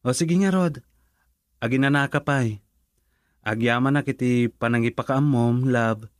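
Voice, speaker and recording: A man's voice: mid-range voice, a little slow, dry recording, clean studio sound, natural voice. A man playing a tender, grateful boyfriend in an Ilocano radio drama, with an Ilocano Filipino accent. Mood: affectionate.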